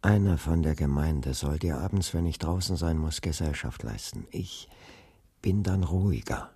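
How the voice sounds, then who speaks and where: Husky, earnest young preacher. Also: male voice, very deep voice, expressive, warm tone, no room sound, studio quality, full character voice. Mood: hushed, concerned. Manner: earnest, deliberate. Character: earnest, gentle young preacher and husband, peacemaker. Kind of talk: radio drama acting.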